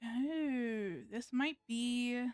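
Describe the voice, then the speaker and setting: A woman's voice: on the high side, extremely slow, a little mellow, clear, resonant tone, no room sound, studio quality. A woman live streamer chatting casually to viewers while she plays a game, hesitant and thinking aloud as she goes.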